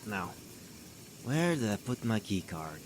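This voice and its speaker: A man's voice: lowish voice, sing-song, takes it slowly, a little hiss or hum. A man playing a weary, grumbling station doctor in a scripted audio drama, hesitant and easily exasperated.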